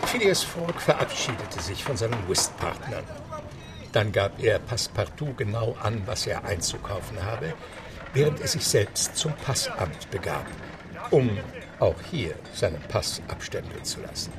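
A man's voice: on the low side, very animated, unhurried. A man narrating a radio play as a scripted storyteller. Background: music.

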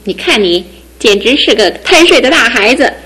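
A soft-spoken young wife. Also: female voice, a little high, expressive, normal speed, slightly rough, full character voice, poor audio. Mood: cheerful. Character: young wife, cheerful and resilient. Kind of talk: radio drama acting.

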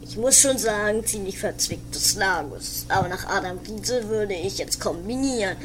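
A woman's voice: medium pitch, moderate pace, close to an everyday voice. A woman playing a bumbling police inspector in an amateur comedy radio play, hesitant and musing. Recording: noisy 1980s amateur radio recording.

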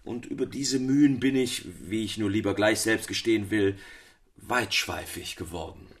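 A man playing a courteous, bookish storyteller with formal, measured literary phrasing in a radio play. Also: on the low side, breathy edge. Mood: apologetic. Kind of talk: German radio play acting, period literary dialogue.

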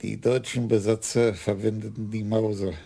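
An old, courteous police commissioner in a radio crime drama. His voice is gravelly, husky and dark, and he speaks politely and with care. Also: fairly low pitch, flat delivery, moderate pace. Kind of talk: 1980s German radio drama acting.

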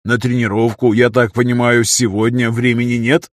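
A man voicing a gruff fighting mentor, stern but caring, with a weighty, admonishing manner in an audiobook. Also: mid-range voice, flat delivery, moderate pace, dry recording, studio quality, lightly acted. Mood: resigned. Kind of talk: audiobook character voice.

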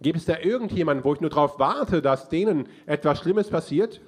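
A man with an Austrian accent who interprets a sermon phrase by phrase, speaking casually and often pausing on fillers. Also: fairly high pitch, husky. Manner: relaxed, with frequent "ähm" fillers.

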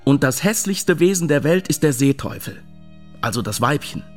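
Radio play, male actor: brooding fish enthusiast, wry, deliberate, lightish pitch, soft music beneath. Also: expressive, lightly acted. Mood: dry, sardonic. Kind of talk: German radio drama monologue.

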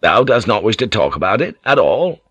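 A man's voice: on the low side, sing-song, fairly quick, a bit bright, breathy edge, no room sound, full character voice. A man playing an earnest, gallant man in an audiobook, with formal, archaic phrasing.

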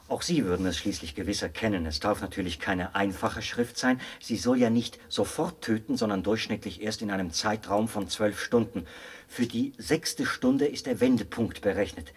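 An actor in a German radio play as a zealous, meticulous officer who explains a machine with pedantic pride. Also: male voice, on the low side, slightly rough. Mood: proud, insistent.

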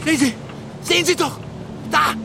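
An actor playing a frantic, cursing ship's engineer in a radio play, urgent and high-strung, desperate to escape. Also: male voice, normal speed, gravelly, noisy background. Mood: desperate pleading.

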